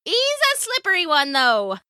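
A woman's voice: sits high, wildly swooping pitch, normal speed, bright, forceful tone, no room sound, clean studio sound, voice pushed up, heavily acted. A woman voicing a hearty retired female pirate sailor with a salty, exclamatory way of talking, in a storybook narration. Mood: urgent.

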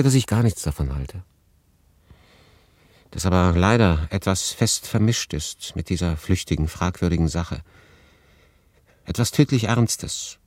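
A man's voice: low-pitched, darkish tone, no echo. An actor playing a melancholy, detached male refugee who narrates his story in a pensive, understated radio drama style. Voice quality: husky.

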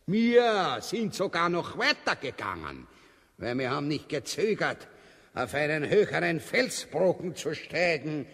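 A man's voice: fairly high pitch, big pitch swings, a little slow, a bit bright, heavily acted. A man with an Austrian accent playing a pompous, cowardly, boastful finance official in a comic radio drama, long-winded and mock-solemn. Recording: studio radio play with long hall reverb.

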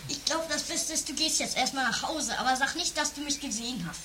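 A woman's voice: high voice, a little fast, heavy background noise, lightly acted. A woman acting a timid runaway schoolboy in an improvised radio play, hesitant and stammering. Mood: flustered. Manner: hesitant, stammering, muttering to self. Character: timid, scared runaway schoolboy alone in dark woods.

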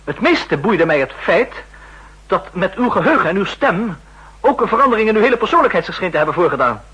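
An actor playing a driven counter-intelligence official, formal, pointed and emphatic, in a 1960s radio drama. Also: male voice, high-pitched, big pitch swings, brisk, sharp tone, dull audio, heavily acted. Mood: accusing, triumphant. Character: driven, insistent male counter-intelligence official making accusations. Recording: rough old 1960s radio recording.

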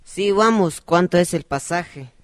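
A man's voice: sits high, measured pace, no echo, clean studio sound. A young man with a Guatemalan accent acting a polite, needy young villager new to the city in an amateur radio drama. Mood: friendly. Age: young adult.

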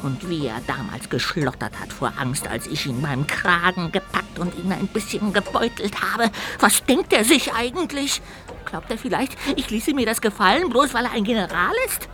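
A woman's voice: mid-range voice, lively intonation, moderate pace, bright, forceful tone, faint music behind. A woman voicing a small, mischievous castle ghost in a radio play, indignant and fond of ghostly hooting. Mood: agitated.

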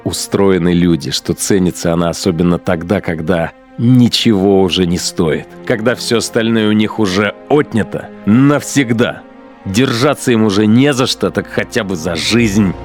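A man's voice: low voice, normal speed, close to an everyday voice. An actor playing a cynical, menacing male battalion commander in a radio drama, musing coldly with coarse, sneering contempt. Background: music behind the voice.

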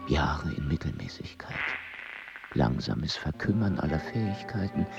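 A man's voice: extremely low pitch, very animated, unhurried, vocal fry, lo-fi sound, loud music behind the voice, full character voice. An actor voicing a brooding male mathematician, mostly in a whispered inner monologue that sounds bitter and proud, in a radio play. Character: brooding male mathematician weighing suicide by poison.

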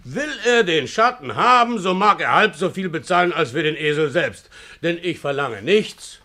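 An actor playing a stubborn, plain-spoken donkey driver in a radio play, pleading and obstinate in an earthy way. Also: male voice, a little high, expressive, moderate pace, pushed and bright. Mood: aggrieved, insistent. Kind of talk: theatrical radio play acting.

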